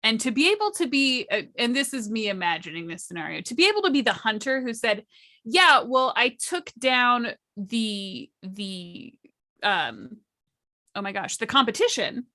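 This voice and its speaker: A woman with a bright, slightly high voice talks casually about storytelling in tabletop games. She explains with emphasis and stretches out the words that matter to her. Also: very animated, normal speed. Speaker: panelist at a tabletop roleplaying storytelling workshop.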